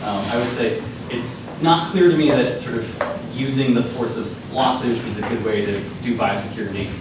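A very reverberant lecture-hall recording of a young man, picked up from a distance with clear noise behind him. He presents informally, in a halting manner, with frequent filled pauses and hedges. His pitch is average for a man. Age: young adult. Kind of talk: informal academic news presentation. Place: lecture hall, speaking at the front. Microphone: far camera microphone.

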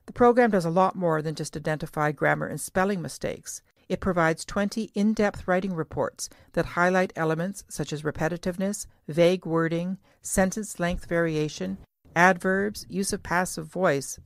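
Low-ish, creaky-voiced woman reading promo. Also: no room sound, clean studio sound. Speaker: podcast host reading sponsor spot. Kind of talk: scripted promotional narration.

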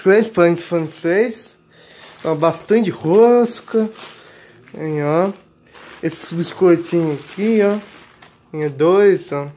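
A man's voice: sits high, very slow, long pauses, warm tone. A young man in his late teens with a Brazilian accent, chatting casually and repetitively as he vlogs in a home kitchen. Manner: repetitive, points things out with filler tags. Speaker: vlogger. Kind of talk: casual grocery haul chat. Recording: clear noise, crinkling plastic bags. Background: plastic bags crinkling.

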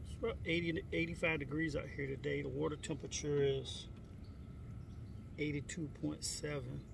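A man's voice: on the high side, normal speed, vocal fry. A middle-aged man narrating casually to viewers while fishing outdoors by a lake, recorded on a phone camera set in front of him. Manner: relaxed, talks to viewers while working the reel. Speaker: fishing vlogger. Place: outdoors on a lake, seated by the water. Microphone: phone camera set low in front. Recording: outdoor noise and ambience.

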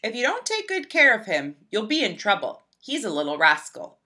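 A woman reading a children's picture book aloud in an expressive, emphatic storybook style. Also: fairly high pitch, normal speed. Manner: animated, emphatic, storybook delivery.

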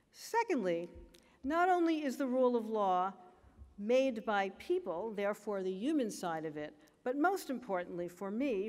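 An older American woman giving a formal graduation speech at a lectern, with a measured, emphatic delivery. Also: mid-range voice, a little mellow, big-room sound. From United States. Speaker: commencement speaker. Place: large auditorium stage at a lectern. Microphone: lectern microphone.

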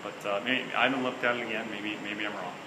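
A man in his thirties speaks in a fairly low voice, answering postgame questions as a hockey coach. He talks in a measured, hesitant way, pausing on fillers and restarting phrases. The small interview room echoes, and a steady air-conditioning hum sits clearly underneath. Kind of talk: postgame press conference. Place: small interview room before a sponsor backdrop.

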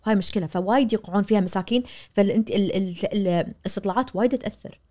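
A woman in her forties with a Kuwaiti accent, a media professor explaining things animatedly as a guest on a studio podcast, recorded on a boom mic. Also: racing. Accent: Kuwaiti Arabic. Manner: animated, gesturing, acts out quoted voices. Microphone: boom-arm studio mic.

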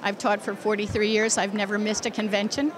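A woman's voice: mid-range voice, level tone, a little fast, a crowd in the background. A woman in her sixties, an educator answering interview questions earnestly and emphatically into a handheld microphone. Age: 60s. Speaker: teacher and convention committee chair, interview guest. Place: crowded indoor convention exhibition hall. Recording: loud busy convention hall background.

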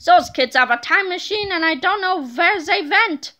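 A woman's voice: very high voice, lively intonation, normal speed, exaggerated voice. Woman doing a barking, hot-tempered supervillain. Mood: angry. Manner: barking, yelling. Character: gloating, hot-tempered male storybook supervillain.

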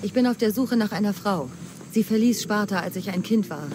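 A woman's voice: medium pitch, fairly quick, noisy background, natural voice. A woman voicing a determined young mercenary in a video game, earnest and direct. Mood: direct, resolute. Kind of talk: video game cutscene dialogue. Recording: clear outdoor ambience behind.

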